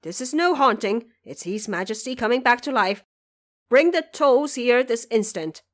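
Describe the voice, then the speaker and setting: A woman's voice: fairly high pitch, lively intonation, normal speed, lightly acted. A woman voicing a self-assured, loyal male prime minister in an audio drama. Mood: commanding.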